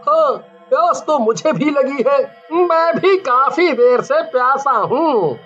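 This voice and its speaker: A man's voice: very high-pitched, lively intonation, takes it slowly, a bit bright, muffled recording, soft background music, exaggerated voice. A man voicing a leering, cruel village thug with a drawling, taunting delivery in a cartoon horror story. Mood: impatient.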